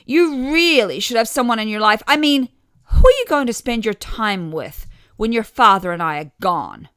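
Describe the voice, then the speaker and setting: A woman's voice: a little high, lively intonation, normal speed, clear, resonant tone, no echo, studio quality, pitched above the performer's own voice. A woman voicing a cheerfully deluded, nagging elderly mother in a short story, with a gushing, prying manner. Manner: loud, gushing, pointedly prying. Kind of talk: audio short story character voice.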